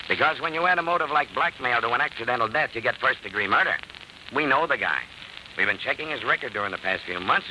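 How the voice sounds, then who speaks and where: A shrewd police detective played by a man presses his suspect with wry, pointed remarks. His medium voice moves in lively swings over the noise of an old radio crime-drama recording. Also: medium pitch, natural voice. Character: shrewd, dogged, sardonic male police detective.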